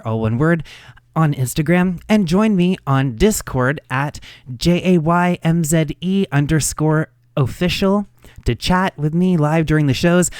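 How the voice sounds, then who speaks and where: Higher-voiced man hosting a radio show. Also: darkish tone, dry recording, clean studio sound. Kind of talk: scripted show introduction.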